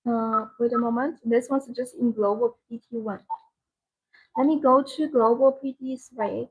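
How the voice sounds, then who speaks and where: Non-native english lady doing a live product demo, kinda high voice w/ lots of creak, repeats herself in simple phrases. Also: steady tone, unhurried, poor audio. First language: not English. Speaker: live-stream sales presenter. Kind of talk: live product demonstration sales talk.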